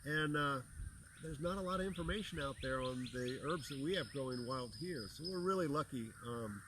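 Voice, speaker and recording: A man's voice: fairly high pitch, moderate pace, a bit bright, slight background noise. Easygoing man talking outdoors among birds. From Bahamas. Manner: easygoing and folksy, with many fillers. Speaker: herbal teacher and co-host. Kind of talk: casual welcome chat before an outdoor class.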